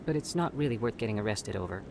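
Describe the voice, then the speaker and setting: A man's voice: lowish voice, animated, fast talker, gravelly, noisy background. A man playing a nervous, earnest scientist in a video game, with a hesitant, guarded way of talking. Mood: cautious. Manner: hesitant, stammering, evasive.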